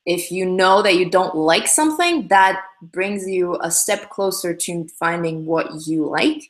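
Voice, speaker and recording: A woman's voice: fairly low pitch, measured pace. Chatty young woman, roomy echo. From Russia. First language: Russian. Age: young adult. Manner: chatty, upbeat, many fillers and restarts. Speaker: livestream host. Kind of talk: casual livestream chat answering comments.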